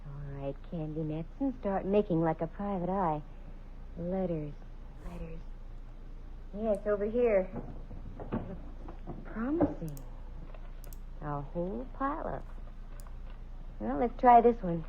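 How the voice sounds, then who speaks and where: An actress playing a brisk, wry female private eye in 1940s radio style. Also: lowish voice, lively intonation. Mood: searching. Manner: brisk, wry, hard-boiled. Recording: muffled old radio broadcast with hiss.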